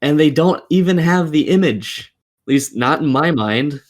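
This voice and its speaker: A man's voice: a little high, lively intonation, normal speed. A man co-hosting a casual gaming podcast, explaining his opinions at length and swearing casually. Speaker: podcast co-host.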